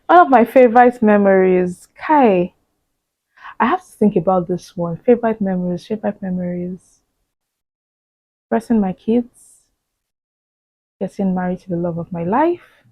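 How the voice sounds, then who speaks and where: A woman's voice: mid-range voice, a little slow, long pauses, dry recording, lo-fi sound. A woman in her thirties chatting casually as she answers audience questions, laughing often and thinking aloud. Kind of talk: casual chat.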